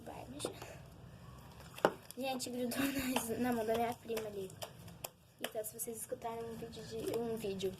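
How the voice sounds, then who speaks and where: A young Brazilian girl with a high voice talks casually to her viewers. She is informal and chatty, like a hobby vlogger. The homemade recording is clearly noisy, with small clicks. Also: little pitch movement, normal speed, long pauses. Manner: informal, giggly, addresses viewers often. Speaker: vlogger showing slime. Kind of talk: casual chat to camera with hands-on demonstration.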